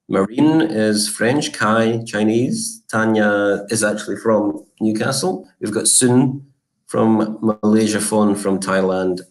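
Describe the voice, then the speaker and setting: A man originally from Scotland, a college marketing director, giving a relaxed, informative live online presentation with frequent fillers. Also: lowish voice, low-quality recording.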